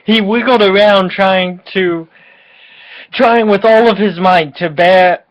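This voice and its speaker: A person giving a tipsy, mock-dramatic reading of comic fan fiction, halting and often giggly. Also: medium pitch, highs cut off. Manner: giggly, frequently breaking into laughter; melodramatic, halting delivery.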